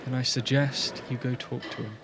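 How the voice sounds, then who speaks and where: An actor playing a considerate, direct man in a radio drama, speaking calmly. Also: medium pitch, normal speed, natural voice. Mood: concerned, direct.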